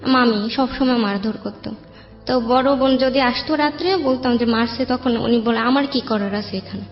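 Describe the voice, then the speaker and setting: A woman with a Bangladeshi accent tells her own life story as a guest in an interview. Her voice sits a bit high. It sounds slightly muffled in an echoey space, with soft music underneath. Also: moderate pace. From Bangladesh, raised in Dhaka.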